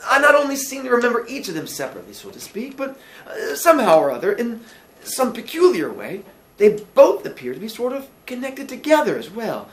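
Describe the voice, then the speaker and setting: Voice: medium pitch, a bit bright, voice pushed up. A reader voicing an earnest, polite young Englishman from a story, puzzled and insistent, speaking eagerly with hesitant, emphatic phrasing.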